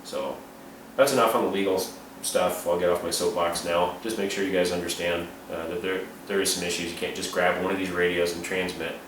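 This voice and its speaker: A man's voice: low voice, steady tone, brisk. A man giving an informal, unscripted product review and explainer in a plain-spoken, matter-of-fact way. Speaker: reviewer and amateur radio hobbyist. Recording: roomy, distant mic, clearly noisy.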